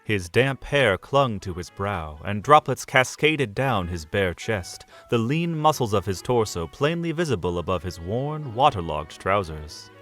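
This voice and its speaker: A man with a fairly low voice narrates a fantasy audio drama, his pitch rising and falling expressively through the story. Soft music plays beneath his narration. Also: sing-song, a little slow, hardly any pauses.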